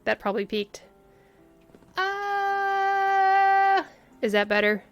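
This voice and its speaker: A woman's voice: very high-pitched, sing-song, drawn-out words, dull audio. A woman livestreaming a video game, commenting casually and wryly on the story as she reacts aloud. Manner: wry, chatty, reacting aloud. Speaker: game streamer. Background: faint game music.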